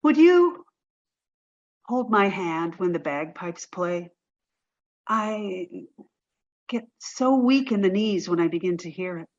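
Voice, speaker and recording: A woman acting in an audio play as a wistful, fragile woman in mourning, speaking haltingly and from the heart. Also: mid-range voice, expressive, muffled recording. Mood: fragile, pleading.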